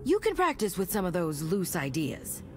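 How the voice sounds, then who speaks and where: A woman's voice: fairly low pitch, huge pitch swings, normal speed, darkish tone, soft background music. A woman voicing a stern, protective agent and instructor in a video game, dry, sardonic and authoritative. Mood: dismissive. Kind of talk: animated video game acting.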